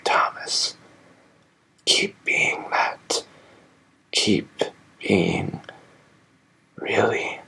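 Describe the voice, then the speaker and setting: A man voicing a gentle, loyal small male engine who is dying, speaking in weak, slow, breathy whispers. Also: sits low, a little hiss or hum, exaggerated voice, poor audio. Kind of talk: fan audio drama acting.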